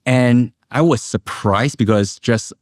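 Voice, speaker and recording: A middle-aged man from Indonesia and Singapore, an investment analyst, giving measured, reflective answers as an interview guest. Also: medium pitch, dry recording, studio quality.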